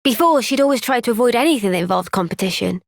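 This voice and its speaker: A woman playing an earnest, gentle young female soldier in a video game cutscene, speaking with measured, sincere resolve. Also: on the high side, lively intonation, creaky, dry recording, clean studio sound. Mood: thoughtful, earnest.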